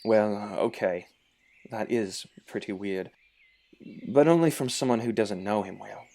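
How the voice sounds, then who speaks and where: A man's voice: lowish voice, measured pace, gravelly, dark tone. A man playing a loyal, level-headed young knight and confidant in an audio drama. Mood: patient.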